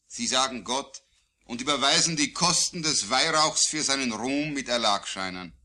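A man reciting his own poetry solemnly, in a declamatory, deliberate way with phrases falling at the line endings. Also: mid-range voice, lively intonation, bright, forceful tone. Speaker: poet. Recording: digitized vintage vinyl record.

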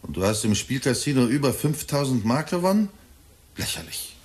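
A man's voice: medium pitch, sing-song, normal speed, a little mellow, natural voice. A man in a German radio drama playing a troubled middle-aged father of a criminal, speaking in a grave, probing, reproachful way. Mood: accusing.

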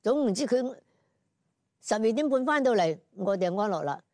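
An older woman with a Hong Kong Cantonese accent reminisces conversationally. Her pitch is middling, recorded dry without room sound. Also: animated, moderate pace, darkish tone, no echo. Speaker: interviewee.

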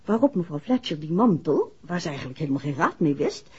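Husky detective's wife, animated radio drama. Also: female voice, low-pitched, a little fast, full character voice. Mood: explaining. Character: composed, caring detective's wife recounting events to her husband. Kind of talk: 1960s radio play acting. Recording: dull, muffled 1960s radio recording.

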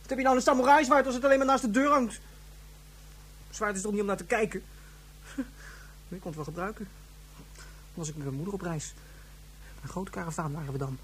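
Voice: medium pitch, brisk, pauses often, fairly bright tone, a little hiss or hum, lightly acted. A performer playing a whiny, insistent and imaginative young boy in a radio drama, with a childlike voice. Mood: wistful. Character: petulant, imaginative young boy, upset about his mother.

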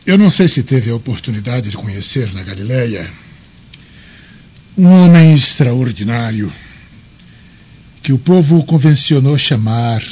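A man playing an authoritative, troubled Roman governor in a radio drama, with formal, deliberate delivery. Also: mid-range voice, animated, a little mellow, breathy edge. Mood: grave. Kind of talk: dramatized radio play acting. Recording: muffled lo-fi radio drama recording.

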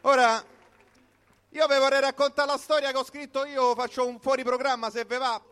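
A man's voice: very high voice, level tone, normal speed, fairly bright tone, smooth. A man with a Roman accent performing a comic storytelling monologue in short, colloquial, punchy phrases. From Rome, Italy. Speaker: comic performer reciting his own piece. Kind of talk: live comic storytelling monologue built on wordplay.

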